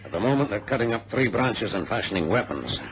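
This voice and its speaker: An actor playing a brisk, precise ex-colonel who tells his adventure in a formal radio-drama style. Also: on the low side, big pitch swings. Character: brisk ex-colonel plane passenger, an orderly organizer. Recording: noisy muffled vintage radio drama recording.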